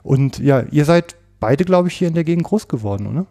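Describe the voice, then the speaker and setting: A man's voice: a little high, expressive, brisk, mellow. A man hosting a hunting podcast, talking casually with many hesitations and fillers. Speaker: podcast host.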